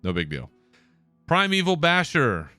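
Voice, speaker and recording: A man's voice: fairly high pitch, huge pitch swings, measured pace, sharp tone, no room sound, faint music behind. A man hosting a podcast alone, talking in a laid-back, casual way and swearing now and then. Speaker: podcast host. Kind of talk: solo casual commentary.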